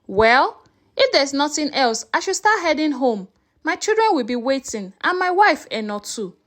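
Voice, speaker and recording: Woman doing a nervous husband in a story, careful and pleading, high lively voice. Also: moderate pace. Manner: careful, defensive. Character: anxious married man, polite, pleading with hostile in-laws.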